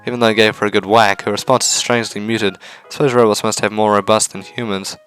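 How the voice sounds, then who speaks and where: A man's voice: lowish voice, level tone, fast, a bit bright. A man reading a visual novel aloud as a let's player, in a narrating style with casual reactions, over game music. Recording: music behind the voice.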